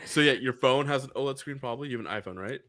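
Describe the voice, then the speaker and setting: A man's voice: mid-range voice, very fast, a crowd in the background. A man co-hosting a podcast in easygoing, chatty conversation who laughs often. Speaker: podcast co-host. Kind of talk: casual podcast chat.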